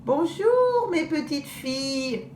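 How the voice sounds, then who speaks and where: Woman putting on a sly greedy storybook wolf, high shrill wheedling voice, lip-smacking, lively swoops. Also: slow pace, slight background noise, heavily acted. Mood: faking grandma. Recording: roomy echo.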